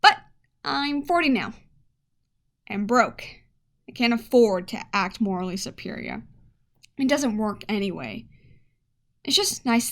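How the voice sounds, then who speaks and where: A woman's voice: medium pitch, vocal fry, fairly bright tone. A woman playing a blunt, weary head scientist of forty, dictating an audio log in a radio play with wry, emphatic asides.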